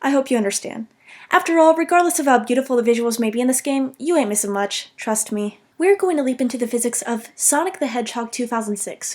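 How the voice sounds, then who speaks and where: A young woman narrating a scripted, humorous video game commentary in a self-deprecating, mock-dramatic way. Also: on the high side.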